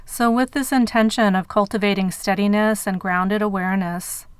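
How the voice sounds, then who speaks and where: Female meditation narrator, medium register, very pure resonant tone, dry studio-like sound, measured delivery with space between phrases. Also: mid-range voice, fairly even intonation, smooth, no room sound. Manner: measured, unhurried phrasing with pauses. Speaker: meditation guide.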